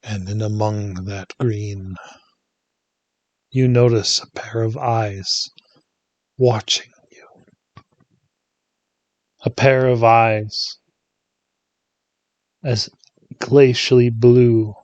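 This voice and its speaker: A man leading a guided meditation in a soothing, hushed manner, leaving long pauses between short phrases. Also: on the low side, fairly even intonation, dry recording, dull audio.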